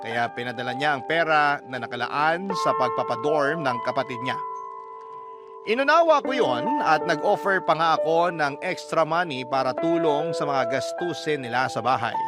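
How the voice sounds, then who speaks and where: A man's voice: on the high side, moderate pace. A man with a Filipino accent narrating a scripted first-person story as a radio storyteller. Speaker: radio storyteller reading a listener's letter. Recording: loud music under the narration.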